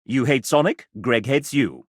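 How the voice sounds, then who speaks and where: A man voicing a sassy, arch gentleman who narrates a dating video game in a theatrical, mock-genteel and sardonic manner. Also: mid-range voice, animated, a little slow, dry recording, studio quality. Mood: mock-regretful, cutting.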